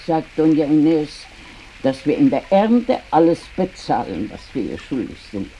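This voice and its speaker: A woman's voice: low-pitched, big pitch swings, moderate pace, dark tone. An actress playing a practical old grandmother of a poor village household in a radio play. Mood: resigned, practical. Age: older. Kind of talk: German radio play acting. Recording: dull, faint sizzling rain noise.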